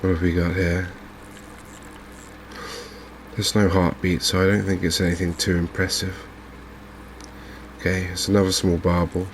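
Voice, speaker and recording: A man speaking softly and unhurriedly in an ASMR style, murmuring his way through video game commentary as a streamer. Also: deep voice, little pitch movement, a little hiss or hum. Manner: soft-spoken, unhurried, murmuring to himself.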